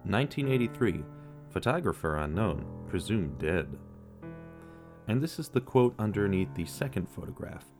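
Low-voiced man narrating true crime. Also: very animated, a little mellow, soft background music. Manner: measured storytelling with dramatic pauses. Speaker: podcast narrator.

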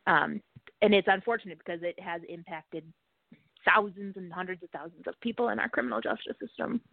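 A woman speaking as an interview guest, giving conversational commentary in an earnest, halting way. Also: medium pitch, vocal fry, husky, dry recording. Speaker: podcast co-host as interview guest. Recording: dry, narrow phone-line sound.

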